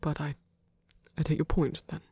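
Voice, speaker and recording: A performer voicing a gentle, devoted male vampire priest in an intimate ASMR roleplay, speaking in a breathy, hesitant murmur with formal wording. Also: medium pitch, little pitch movement, normal speed, no room sound. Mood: hesitant, earnest. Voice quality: breathy, whispery. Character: soft-spoken, tender male vampire priest, earnest and devoted. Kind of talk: intimate ASMR roleplay, scripted romantic audio drama. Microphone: close, dry microphone.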